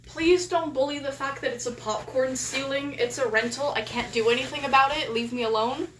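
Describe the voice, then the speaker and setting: A woman's voice: sits high, a little fast, roomy sound, background noise. Chatty Canadian-accented young woman streamer. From England. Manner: chatty and scattered, talking to chat while fiddling with her setup. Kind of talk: casual live-stream chat. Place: small rented room at a desk.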